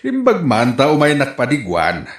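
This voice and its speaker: A man's voice: medium pitch, wildly swooping pitch, echoey room. Man acting a swooping, vain older uncle. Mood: flirty, amused. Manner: drawn-out, exaggerated delivery. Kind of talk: comedic radio drama acting.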